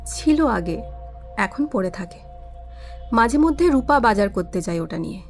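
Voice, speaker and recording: A woman's voice: mid-range voice, animated, a little slow, soft background music. A woman playing an anxious, guilt-ridden witness in an audio drama, pleading and defensive with feeling. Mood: subdued.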